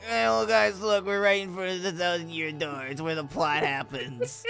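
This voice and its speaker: Bright-voiced joking male streamer. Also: sits high, fairly even intonation, normal speed, gravelly, faint music behind. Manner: joking, melodramatic complaints. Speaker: streamer playing a video game. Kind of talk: casual game stream chat.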